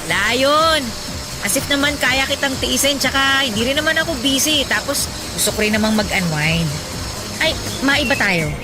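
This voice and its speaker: A sarcastic bossy young gal in a radio drama, high voice, music under it. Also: female voice, lively intonation, normal speed. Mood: teasing. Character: blunt, teasing young woman friend.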